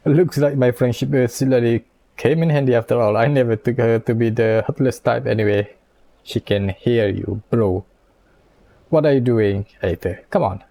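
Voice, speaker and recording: A man's voice: mid-range voice, moderate pace, creaky, dark tone. A man casually reacting to a video game and reading its text aloud, laid-back and teasing. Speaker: gamer streaming a playthrough. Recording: game music behind the voice.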